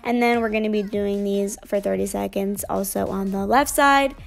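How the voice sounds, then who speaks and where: A woman's voice: mid-range voice, fairly even intonation, normal speed, creaky. Young woman vlogger chatting thru her gym routine, easygoing, light music under it. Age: young adult. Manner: casual, chatty, upbeat explaining. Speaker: fitness vlogger. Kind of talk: voice-over narration of a workout.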